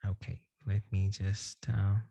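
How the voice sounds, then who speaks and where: A man's voice: low voice, level tone, unhurried, gravelly, dark tone, no room sound. A man from Sri Lanka, giving an online presentation over a video call with many hesitations. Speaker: guest speaker.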